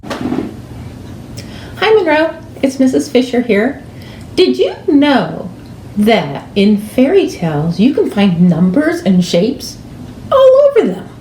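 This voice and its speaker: A woman in her fifties explaining things to children as a teacher, deliberate and with pauses, recorded in a room at home. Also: a little high, wildly swooping pitch, warm tone. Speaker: elementary school teacher. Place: kitchen or dining room at home. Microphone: camera a few feet away. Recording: roomy, echoing, faint background noise.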